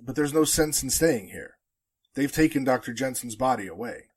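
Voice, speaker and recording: A man performs a somber radio studio man from an old radio drama. His mid-pitched voice is husky, with a blunt, shaken delivery. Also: lively intonation, moderate pace. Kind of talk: 1940s radio drama acting.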